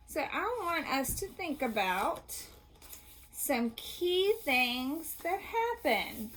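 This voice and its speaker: A woman with a high, very expressive voice speaks as a teacher to young children. She takes her time, in an encouraging read-aloud style, posing simple questions and confirming the answers. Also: poor audio. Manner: encouraging, praising, asks questions and answers them.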